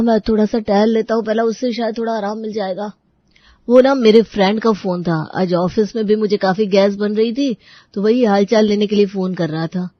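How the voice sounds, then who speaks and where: A woman's voice: medium pitch, dry recording, lo-fi sound, lightly acted. A woman voicing a henpecked, evasive husband who complains and makes excuses in a comic audio story. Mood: evasive pleading.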